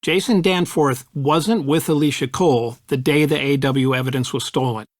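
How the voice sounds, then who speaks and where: A man voicing a middle-aged American lawyer father in a video game, analytical and supportive in a measured way. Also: mid-range voice, no room sound, clean studio sound, natural voice. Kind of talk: video game dialogue acting.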